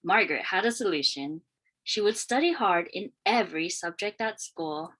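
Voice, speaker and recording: A woman reading a children's picture book aloud in an expressive, deliberate storytelling manner. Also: lowish voice, low-quality recording. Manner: expressive, questioning lifts and deliberate phrasing. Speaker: read-aloud storyteller, teacher.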